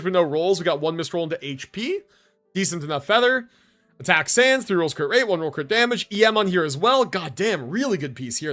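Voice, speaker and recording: A man's voice: high voice, fairly bright tone. A man streaming live, reviewing game builds in casual commentary and thinking aloud as he goes.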